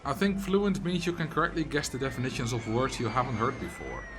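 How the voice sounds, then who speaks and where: A man's voice: mid-range voice, animated, a little fast, over music. A man with a Dutch accent, a streamer chatting casually and answering chat messages while he plays a game. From Netherlands.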